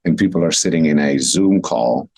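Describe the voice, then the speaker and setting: A man's voice: extremely low pitch, animated, normal speed, creaky, darkish tone, no room sound, clean studio sound. A man in his thirties from Switzerland, talking casually and reflectively as a coach being interviewed. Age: 30s. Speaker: interview guest, wellness and movement coach. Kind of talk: casual conversation.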